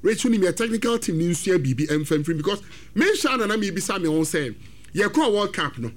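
A man with a Ghanaian accent hosting a football talk segment, animated and switching between English and Twi. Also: sits high, brisk. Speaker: sports radio host.

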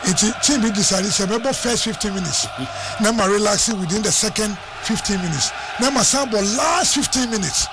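A man's voice: high voice, moderate pace, background noise. A man with a Ghanaian accent giving football analysis on radio, explaining tactics while mixing Twi and English. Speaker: football pundit.

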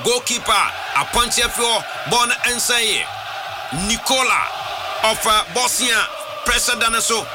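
Shouting football commentator, high voice. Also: male voice, a little slow, noisy background. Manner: shouting excitedly, mixing Twi and English, reads sponsor plugs.

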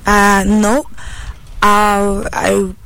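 A woman's voice: medium pitch, monotone, very slow, gravelly, fairly bright tone, slight background noise, poor audio. A woman with Italian as her first language and a Nigerian-tinged English accent, a guest musician chatting playfully and confidently in an interview, laughing often. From Italy. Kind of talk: casual interview conversation.

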